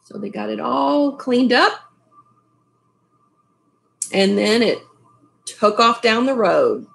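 A middle-aged woman reading a children's story aloud, pausing for asides to her listeners. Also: on the high side, animated, gravelly. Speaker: storybook reader. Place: small furnished room at home.

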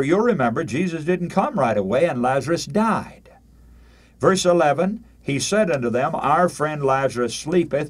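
An older man preaching a radio sermon, emphatic and deliberate, using rhetorical questions and repetition and reading out scripture. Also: mid-range voice, darkish tone.

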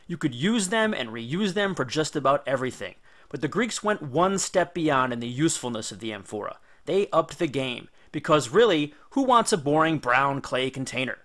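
A man's voice: a little high, fairly bright tone. A man reading scripted educational narration like a teacher, with an enthusiastic, informal and emphatic storytelling delivery.

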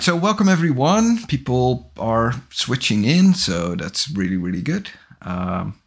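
A man in his forties hosts a casual livestream from a small home office, speaking into a large studio microphone. His voice sits very low with a husky edge, and he talks at an unhurried pace. He rambles and corrects himself as he goes. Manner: relaxed, rambling, self-correcting. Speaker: livestream host. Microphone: large studio microphone on boom arm, headphones.

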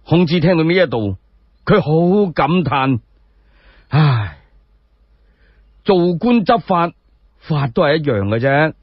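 Expressive, husky male Cantonese storyteller. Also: a little high, takes it slowly, long pauses, dry recording. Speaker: solo storyteller. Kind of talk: dramatic scripted storytelling narration. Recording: dry, dull lo-fi audio.

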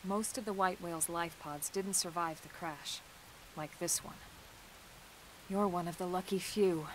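A slightly low-voiced female soldier played by an actress in a sci-fi game, composed and reassuring. She speaks in a steady, matter-of-fact way, with faint rain behind her. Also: measured pace, slight background noise, natural voice, rough recording. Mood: subdued, grave. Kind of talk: video game cutscene acting.

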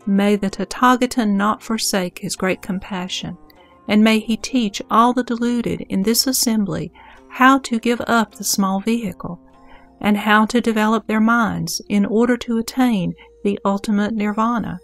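A woman playing a reverent male disciple pleading with his master in a scripture audio drama, formal and measured. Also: medium pitch, lightly acted. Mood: devout pleading. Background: music.

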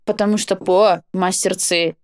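A woman's voice: fairly low pitch, fairly even intonation, unhurried, a little mellow. A young woman chatting casually as she co-hosts a reaction video, recorded on a desk microphone in a home streaming room. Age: young adult. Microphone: studio mic on desk arm.

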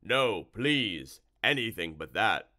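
A man's voice: fairly low pitch, very animated, slow, sharp tone, heavily acted. Man voicing a ponderous, huge slimy storybook monster. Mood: horrified. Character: huge slimy tentacled monster, male, ponderous, easily horrified.

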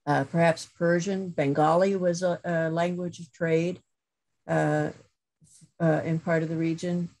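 A middle-aged woman professor giving a thoughtful webinar talk over a video call, pausing with many fillers and restarts. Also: lowish voice, fairly even intonation, darkish tone. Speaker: guest lecturer, professor.

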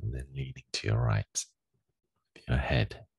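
A man leading a guided meditation as a teacher, giving gentle, unhurried instructions with long pauses in a gravelly, husky voice. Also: very deep voice, fairly even intonation. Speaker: meditation teacher leading a daily group session.

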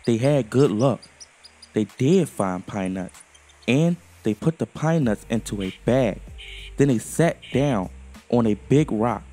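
A man narrates a children's story in a medium-pitched voice. His reading is deliberate and expressive, with music underneath. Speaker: storyteller, host.

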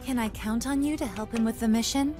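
Woman acting a gentle, high-voiced cartoon mom. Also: fairly quick, lightly acted. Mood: gentle, coaxing. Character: caring mother on a space expedition. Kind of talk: animated film dialogue. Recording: music behind the voice.

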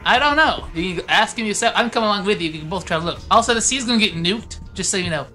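Goofy soldier guy someone dubbing him for laughs, rambly n bickering, sharp bright voice, music behind. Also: mid-range voice, expressive, quick pace. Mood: offhand. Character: goofy soldier obsessed with grape jelly. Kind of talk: improvised comedy fan dub of a video game.

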